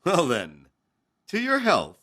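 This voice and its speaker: An actor playing a sly, grasping innkeeper in an audio drama, falsely friendly and insinuating with drawn-out courtesy. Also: male voice, fairly high pitch, wildly swooping pitch, noisy background, exaggerated voice. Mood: slyly polite.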